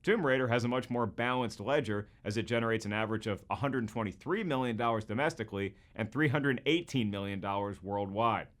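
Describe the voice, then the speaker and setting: A man's voice: lowish voice, fairly quick, few pauses. A man with an American accent hosting a scripted comedic video essay in a jokey, emphatic presenter's delivery. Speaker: host.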